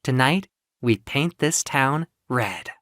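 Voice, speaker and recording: A man's voice: mid-range voice, animated, slow, a bit bright. A man voicing a reassuring, playful winged muse woman in an audio drama story. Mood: playful, encouraging. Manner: reassuring, encouraging.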